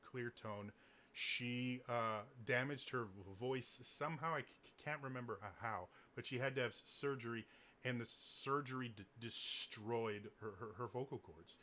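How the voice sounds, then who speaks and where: A man with a naturally deep voice giving casual singing tips, stuttering often on the first sounds of words. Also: normal speed, sharp tone, slight background noise. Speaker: singing coach. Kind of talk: casual advice talk to camera.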